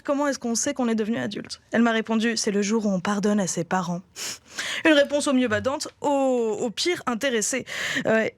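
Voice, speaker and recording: A woman's voice: on the high side, no room sound. Young woman, self-mocking comic columnist. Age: 20s. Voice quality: voice like a teenager breaking. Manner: self-mocking, laughs often between jokes. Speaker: comedy columnist. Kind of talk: humorous radio column. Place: radio studio.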